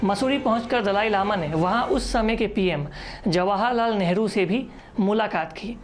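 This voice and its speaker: A woman's voice: mid-range voice, moderate pace. A woman reading a scripted news explainer as a narrator. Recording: voice-over with music underneath. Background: background music.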